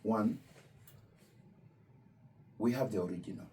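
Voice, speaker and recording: Man, imam, patient, repetitive, low voice, heard from a distance. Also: mellow. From Kenya. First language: Luo. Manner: patient, repeats key points, slows for emphasis. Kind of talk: explaining faith to visiting students. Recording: distant mic with room noise.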